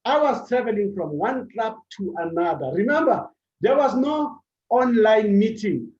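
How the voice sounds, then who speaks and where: A man's voice: sits high, a little mellow. A man giving a motivational speech at a public speaking club, delivering short emphatic phrases and repeating his key points. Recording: echoey room, dull sound.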